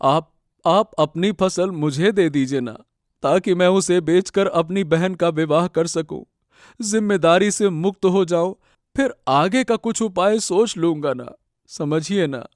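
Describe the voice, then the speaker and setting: An actor playing an earnest, troubled young farmer in a cartoon moral story, melodramatic, halting and pleading. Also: male voice, high voice, dry recording, clean studio sound. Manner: halting, pleading, repeating words.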